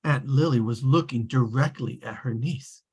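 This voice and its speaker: A man at a table read, playing a wry, all-knowing storybook narrator with a husky voice. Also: mid-range voice, moderate pace, a little mellow. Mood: wry, measured.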